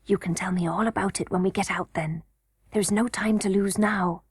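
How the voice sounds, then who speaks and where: A woman voicing a brave, practical young boy miner, hushed, cautious and matter-of-fact, in a children's storybook reading. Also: medium pitch, steady tone, normal speed, husky, dry recording, clean studio sound.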